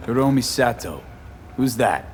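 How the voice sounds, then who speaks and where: An actor playing a street-hardened young mercenary in a video game, terse, steady and low-key. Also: male voice, measured pace, lightly acted. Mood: measured, curious. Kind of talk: video game cutscene acting. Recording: music behind the voice.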